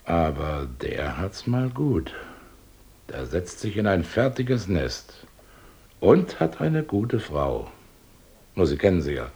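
An actor playing a wealthy village miller in a radio drama, who talks in a sly, coaxing way. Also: male voice, sits low, very animated, slow, long pauses, darkish tone, heavily acted. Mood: persuasive. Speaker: rich miller in a radio play.

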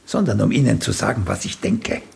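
A man's voice: lowish voice, sing-song, brisk, vocal fry, a little mellow, husky, exaggerated voice. An older male actor playing a blunt, vain, once celebrated playwright in a German radio play, opinionated and sardonic in manner. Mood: stubborn, cutting. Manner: opinionated, sardonic, tags questions with "gell".

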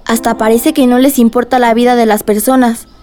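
A woman's voice: fairly high pitch, steady tone, fairly quick, mellow, lightly acted. An actress in a radio drama playing an innocent, inquisitive young girl who asks earnest questions. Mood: curious.

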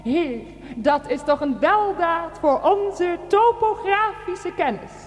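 A pious churchgoer in a satirical radio play. Her extremely high voice declaims thanks slowly and ceremoniously, with long reverb around it. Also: lively intonation, heavily acted. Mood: solemn gratitude. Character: pious, solemnly grateful churchgoer at public prayer.

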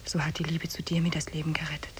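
An actress playing a captive wife who slyly feigns love, speaking in a tender, breathy, theatrical way in a 1950s German radio drama. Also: female voice, sits low, level tone, fast, a little hiss or hum, natural voice. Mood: tender, hesitant. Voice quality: breathy, very husky, often whispering. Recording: old 1950s radio play on cassette.

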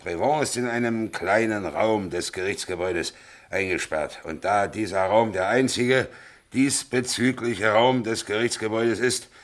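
Low-voiced, aggrieved general played by a man. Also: moderate pace, natural voice. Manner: formal, pointed, aggrieved. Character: stiff, grumbling army general at a cabinet meeting. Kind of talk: German radio play acting.

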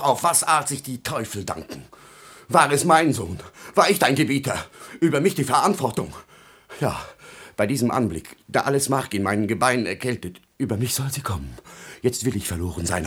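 Ein Schauspieler spielt in einem klassischen deutschen Hörspiel einen intriganten Handlanger, der trotzig und rachsüchtig rast, mit höhnischer, fieberhafter Deklamation. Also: male voice, medium pitch, lively intonation, normal speed, a bit bright, slightly rough. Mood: sneering, menacing. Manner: sneering, frenzied declamation. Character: scheming villainous henchman turned defiant, vengeful madman. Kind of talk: German classical radio drama.

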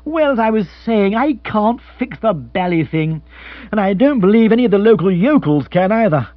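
Guy playing dopey posh bloke, blithe n moody, high voice, bit dull recording, audiobook. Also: animated, normal speed, dry recording. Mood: listless, fussed. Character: dim, moody upper-class English chap, blithely self-absorbed.

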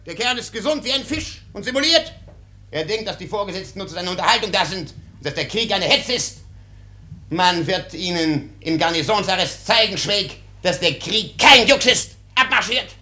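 An actor playing an irascible, blustering army doctor who barks with contempt and hems and haws, in a German radio play. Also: male voice, high voice, animated, normal speed, pushed and bright, heavily acted. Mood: furious. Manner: barking, contemptuous, hemming and hawing.

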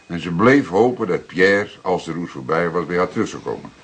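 An actor playing a seasoned older police detective in a Dutch radio drama, explaining things deliberately with dramatic pauses. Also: male voice, sits low, lively intonation, breathy edge. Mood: patient, explaining. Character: seasoned older male police detective, calm, plain-spoken storyteller.

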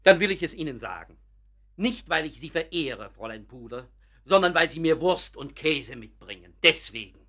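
An actor playing a blunt, disillusioned painter in a German radio drama, gruff, curt and cutting. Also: male voice, fairly high pitch, expressive, normal speed, pushed and bright. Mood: cutting, irritated.

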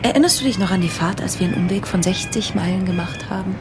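An actress playing a calm, self-assured wife in a naturalistic radio drama, speaking tersely and matter-of-factly. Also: female voice, mid-range voice, quick pace, music in the background, natural voice. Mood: cool, terse.

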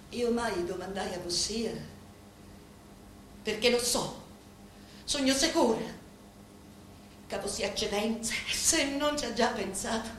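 An actress playing a deferential, devoted Sicilian woman who serves a local boss, speaking a reverent, deliberate stage monologue with a Sicilian accent. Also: fairly high pitch. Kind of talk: theatrical dramatic monologue in dialect. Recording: very echoey room, faint background noise.

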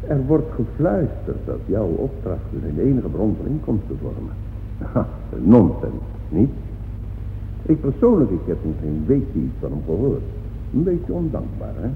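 An actor playing a gossipy, self-important schoolmaster in a radio drama, pompous and needling in a theatrical way. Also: male voice, sits low. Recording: phone-like old radio recording, reverberant hall, noisy.

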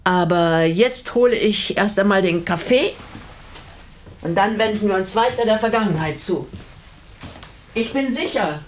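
An actress playing a shrewd, composed elderly woman in a radio play, speaking in a measured, pointed way. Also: medium pitch, lively intonation, a little hiss or hum, lightly acted. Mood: knowing, calm. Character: shrewd, composed elderly female hostess quietly seeking justice.

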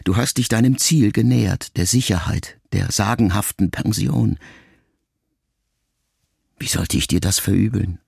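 An actor playing a pensive, melancholy grown son in a radio drama monologue, speaking to his mother in a gravelly, measured, intimate way. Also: lowish voice, a little mellow, no echo, clean studio sound, heavily acted. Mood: reflective, melancholy. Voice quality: gravelly, creaky.

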